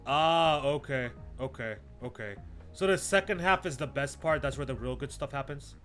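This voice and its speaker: A man's voice: medium pitch, expressive, normal speed, a bit bright. A man livestreaming a game, chatting casually with viewers in slangy, repetitive, think-aloud commentary. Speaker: game streamer. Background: game music.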